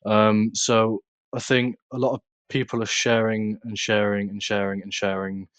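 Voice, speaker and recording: A man with a British accent speaks in a fairly low voice, recorded dry and close. He chats informally as an interview guest, repeating words to drive things home. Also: flat delivery, moderate pace, a bit bright, clear, resonant tone, dry recording. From United Kingdom. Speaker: podcast guest, content creator. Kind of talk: casual interview chat.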